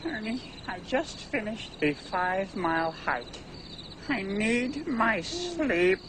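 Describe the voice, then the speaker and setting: A man's voice: very high-pitched, sing-song, a little slow, noisy background, exaggerated voice. A man voicing a long-suffering, grumpy puppet camper in a comedy sketch, exasperated and plaintive. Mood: weary, annoyed.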